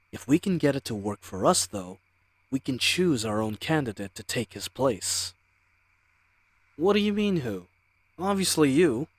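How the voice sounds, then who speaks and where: A man's voice: mid-range voice, dry recording, natural voice. A man playing a charming, cocky bandit crew leader in an audio roleplay, with a teasing, swaggering, conversational manner. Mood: persuasive. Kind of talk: dramatic audio roleplay acting.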